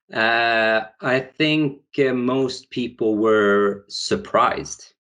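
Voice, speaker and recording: A man's voice: mid-range voice, very slow, fairly bright tone. A young man from Sweden, a podcast guest, speaking in English with many restarts and fillers. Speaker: guest, founder. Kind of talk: podcast interview.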